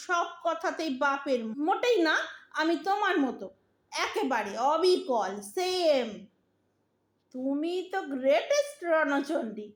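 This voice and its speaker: A woman playing an exasperated, sharp-tongued young married daughter in an audio drama, bossy and impatient, with a Bengali accent. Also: high voice, animated, slow. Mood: indignant. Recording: roomy, echoing audio drama recording.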